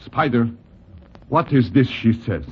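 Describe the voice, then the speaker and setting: An actor playing a naive, earnest exiled king turned boxer, with a foreign accent and halting, stilted English, in 1940s radio style. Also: medium pitch, animated, a little hiss or hum. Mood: troubled, halting. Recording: tinny, band-limited old radio recording.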